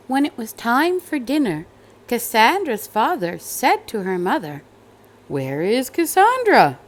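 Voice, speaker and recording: A woman reading a children's story aloud with expressive, emphatic, dramatic narration. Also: fairly high pitch. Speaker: storybook reader.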